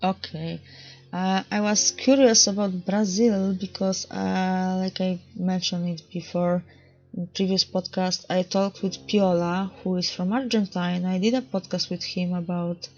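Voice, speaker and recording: Woman hosting a chat, gravelly voice, kinda dull lo-fi call audio, hunts for her english words. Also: on the low side, steady tone, a little slow, a little mellow, faint music behind. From Poland. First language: Polish. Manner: hesitant, searching for English words. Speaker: podcast host. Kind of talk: casual online group chat.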